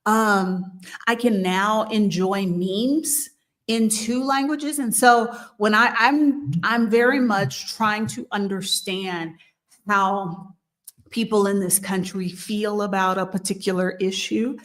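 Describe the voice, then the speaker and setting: Woman host, casual advice chat, slow unhurried delivery, mid-range voice, thinks aloud with ums. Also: mid-range voice, fairly even intonation, a bit bright. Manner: unhurried, thoughtful, frequent ums and restarts. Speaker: host of a move-abroad channel. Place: living in Mexico.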